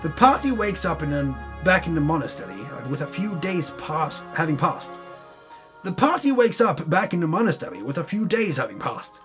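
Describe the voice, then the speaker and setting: A man's voice: on the high side, sing-song, normal speed, fairly bright tone. A man giving a dramatic reading of a tabletop game recap, theatrical in his telling, with wry asides. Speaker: reader of a tabletop campaign recap. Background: music under the voice.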